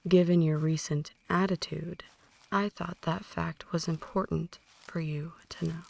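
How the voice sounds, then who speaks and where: A woman playing a possessive, ancient vampire in an ASMR roleplay, speaking softly and breathily, often in whispers, with a deliberate, condescending menace. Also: deep voice, fairly even intonation, heavily acted. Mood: calm, lecturing. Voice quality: soft, breathy, largely whispered. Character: possessive, ancient female vampire mentoring a newborn, cold and menacing. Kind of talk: ASMR roleplay, slow intimate dramatic monologue.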